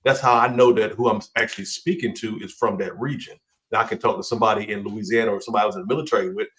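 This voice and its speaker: A man co-hosting a casual podcast discussion, talking in a measured way and repeating words as he starts. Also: fairly low pitch, steady tone, a bit bright. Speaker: podcast co-host.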